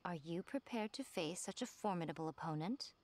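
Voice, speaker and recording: A woman voicing a composed, knowing, coolly amused mentor in a video game, with measured, formal phrasing. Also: fairly low pitch, lively intonation, darkish tone, no echo, lightly acted. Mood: cool, challenging.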